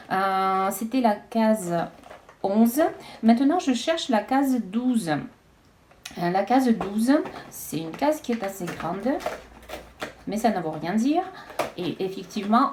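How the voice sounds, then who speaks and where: A woman chatting casually as she unboxes beauty products, hesitant and halting with frequent restarts. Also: medium pitch, a little mellow, slight background noise. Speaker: beauty vlogger.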